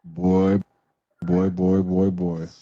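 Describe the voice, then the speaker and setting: A man's voice: little pitch movement, extremely slow, creaky, over music. A man hosting a casual livestream, talking bluntly in slang and repeating words for emphasis. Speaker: host of a livestream show. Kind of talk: casual live talk with commentary. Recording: muffled, boomy roomy livestream audio.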